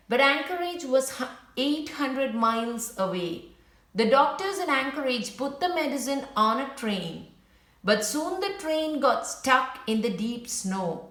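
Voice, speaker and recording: A woman with a slightly high voice reads a children's story aloud. She goes very slowly and deliberately, keeping it simple for young listeners. The room around her is noticeably echoey. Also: a bit bright. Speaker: children's book read-aloud narrator. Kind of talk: storybook read-aloud with brief asides to viewers.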